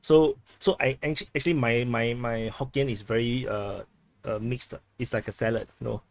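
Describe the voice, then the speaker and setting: A man in casual group chat on a low-quality backup recording, talking haltingly with many restarts while he explains things through comparisons. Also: fairly even intonation, gravelly, no room sound. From Southeast Asia. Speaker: chat participant. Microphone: video call.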